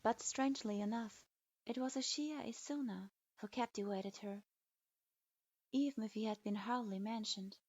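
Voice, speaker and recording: A woman reading a fan fiction story aloud in an even storytelling manner. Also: a little high, normal speed, dry recording. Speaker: story reader. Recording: dull lo-fi.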